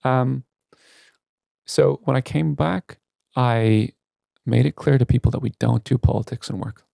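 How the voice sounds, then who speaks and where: A man's voice: medium pitch, a little slow, vocal fry, mellow, no echo, clean studio sound. A man speaking as a CEO guest in a candid podcast interview, earnest and emphatic about his opinions.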